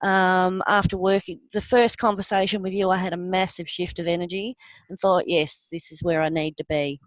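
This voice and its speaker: A woman's voice: lowish voice, flat delivery, no echo, poor audio. Earnest woman, dry close sound. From Australia. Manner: earnest, halting with many ums. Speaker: interview guest. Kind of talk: personal testimony.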